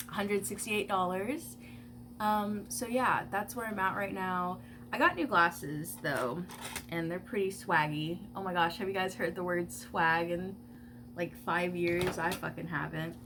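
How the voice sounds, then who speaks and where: A woman's voice: lowish voice, measured pace, background noise. Congested young woman chatting casually. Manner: rambling, filler-heavy, swears casually. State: congested, stuffy nose. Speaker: vlogger. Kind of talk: casual get-ready-with-me chat. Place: at home doing makeup.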